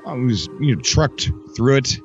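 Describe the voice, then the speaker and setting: A man's voice: mid-range voice, sing-song, a little slow, muffled recording. A man hosting a casual live gaming stream, chatty and upbeat, his voice still recovering and skipping a beat now and then. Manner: chatty, rambling, upbeat, greets chat viewers by name. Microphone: desk mic. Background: faint game music.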